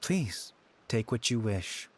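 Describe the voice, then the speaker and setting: A man playing a serene, wistful and cryptic shrine dweller in a video game, measured and poetic. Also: fairly low pitch, sing-song, exaggerated voice. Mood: resigned.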